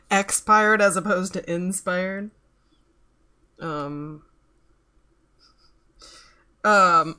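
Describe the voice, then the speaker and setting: A woman's voice: fairly low pitch, bright, forceful tone. A woman in her thirties chatting casually on a stream while she works, rambling and swearing offhandedly as she reads out the chat. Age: 30s.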